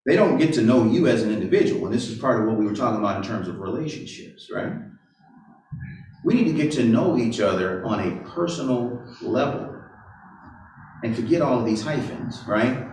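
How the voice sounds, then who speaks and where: A man preaches to a live group and keeps firing off rhetorical questions, tagging his points with "right?". His voice is at a middle pitch and sounds distant from the microphone. Strong room echo surrounds everything he says. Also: expressive, a little fast, darkish tone, a little hiss or hum. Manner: asks rhetorical questions and tags sentences with "right?". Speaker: pastor or Bible teacher. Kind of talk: interactive sermon. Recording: roomy echo, far from the mic.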